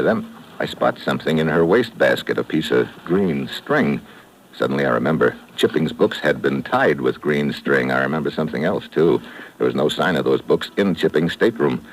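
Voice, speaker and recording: A tough, wisecracking secret agent for a vintage radio drama. His voice sits very deep and low, and his narration comes clipped and hard-boiled. A faint hiss from the old transcription runs underneath. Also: rough recording. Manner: terse hard-boiled first-person narration. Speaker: lead actor and narrator. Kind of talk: 1950s radio drama acting.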